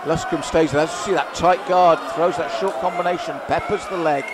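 A male fight commentator delivers live kickboxing play-by-play with excited emphasis and open admiration. His voice sits a little higher than average. It is recorded in a very noisy live venue, with a loud crowd behind him. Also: brisk. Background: loud crowd and arena noise.

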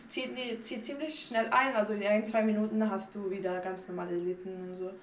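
A woman's voice: mid-range voice, fairly even intonation, a little fast. A young woman with a Swiss German accent, chatting casually and loosely through beauty tips, with lots of fillers. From Switzerland. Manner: chatty and unpolished, with many fillers like "und so". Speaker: vlogger. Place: home bathroom, indoors. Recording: echoey bathroom with faint noise.

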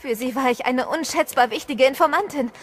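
An actress playing a tough, urgent witness on the run in a German audio drama, speaking tersely. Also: female voice, sits high, brisk, natural voice. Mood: tense, urgent. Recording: clearly noisy ambient effects behind voice.

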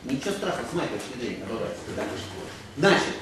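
A man's voice: medium pitch, very animated, fast. A middle-aged man at a roundtable in a conference room, rambling and checking agreement with tag questions. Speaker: roundtable participant. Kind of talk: panel discussion. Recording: echoey room from far off, noisy.

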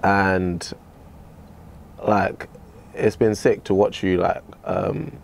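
Low gravelly young man. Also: steady tone. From Tanzania. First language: Swahili. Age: young adult. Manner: laid-back, slangy, drawn-out. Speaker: interviewer, fellow artist. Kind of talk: casual chat. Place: small carpeted room, seated in armchairs.

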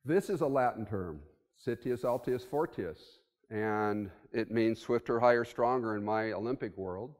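A man's voice: fairly low pitch, measured pace, few pauses, darkish tone. A man in his sixties giving a conversational keynote at a podium in a conference room, thinking aloud with fillers. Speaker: keynote speaker. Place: hotel conference room with audience. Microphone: podium mic. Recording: long reverb of a conference hall.

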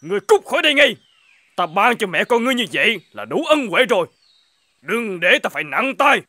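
A man's voice: high voice, big pitch swings, fairly quick, pushed and bright, no echo, exaggerated voice. A man voicing a scheming cartoon lord. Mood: angry. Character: greedy scheming male feudal lord, middle-aged. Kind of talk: cartoon dubbing. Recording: music playing under the voice.

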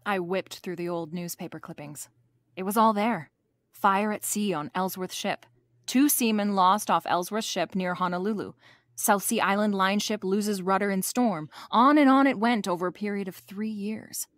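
A breezy female private detective, played by a woman in a noir audio drama. She is coolly playful and quick with a wisecrack. Her mid-range voice sounds close and dry. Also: mid-range voice, no room sound, natural voice. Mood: wry narration.

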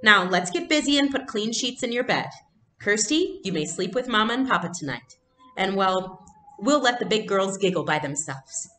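A woman voicing a brisk, cheerful mother who hides her worry, in a reassuring storybook reading. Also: mid-range voice, lively intonation, fairly bright tone, faint music behind, pitched above the performer's own voice, lightly acted. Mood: brisk, coaxing.

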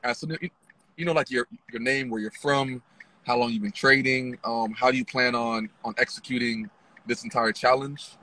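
A man in his thirties hosting a casual interview from inside a parked car at night on his phone, relaxed with lots of "you know". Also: mid-range voice, normal speed, rough recording. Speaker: interviewer, trading channel host. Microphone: phone held close.

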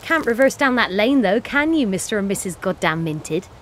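A woman's voice: medium pitch, big pitch swings, moderate pace, slight background noise, lightly acted. An actress playing a bitter, defiant working-class cleaner and self-styled wolf woman in an audio play monologue, with an earthy, sweary way of rambling. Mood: sly, defiant.

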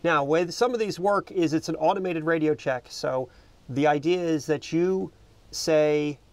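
A man's voice: on the high side, unhurried, dry recording. A middle-aged man talking casually to camera as a boating video host, explaining things in an unscripted, conversational way. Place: outdoors in the cockpit of a small parked boat.

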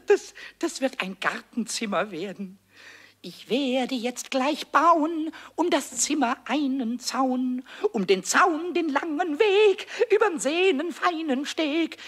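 Little male kobold, very high squeaky voice, swooping, bubbly, boastful, children's radio play. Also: a bit bright, full character voice. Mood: proud, rhyming. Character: impish excitable little male kobold, rhyming gleefully.

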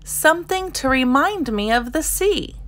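A curious young male platypus from a storybook, acted out by a woman. The voice is fairly high and swings up and down with expressive exclamations. He muses cheerfully to himself at an easy, slow pace. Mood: delighted. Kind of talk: children's picture-book read-aloud.

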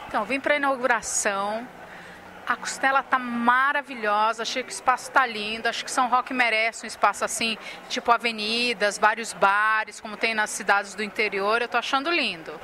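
A woman's voice: on the high side, steady tone, brisk, bright, forceful tone. A middle-aged Brazilian woman giving an informal interview as a customer. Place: large restaurant room. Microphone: handheld interview microphone. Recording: faint restaurant noise.

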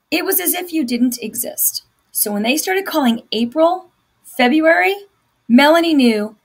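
A woman's voice: high-pitched, gravelly. A middle-aged woman reading a children's novel aloud as a teacher does for students. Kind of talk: scripted narration, children's novel read-aloud.

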